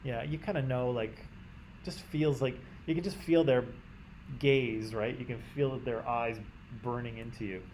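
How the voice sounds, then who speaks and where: A Canadian man teaching a class in a conversational way, often checking in with "right?" and clearing his throat. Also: mid-range voice, steady tone, unhurried, darkish tone, slight background noise, low-quality recording. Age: adult. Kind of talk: classroom lecture.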